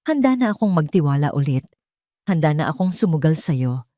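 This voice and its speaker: A woman's voice: sits low, sing-song, brisk, warm tone, no room sound, clean studio sound. A woman voicing a tender, trusting young mother in love, earnest and emotional, in an audio story. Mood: tender, resolved.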